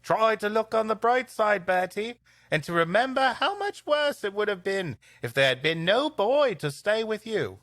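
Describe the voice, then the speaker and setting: A man's voice: sits high, big pitch swings, moderate pace, a bit bright, dry recording, clean studio sound, voice pushed up, heavily acted. A man voicing a kindly schoolmistress in a comic storybook reading, earnest and soothing. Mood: kindly urging. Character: kindly, consoling schoolmistress, gently firm.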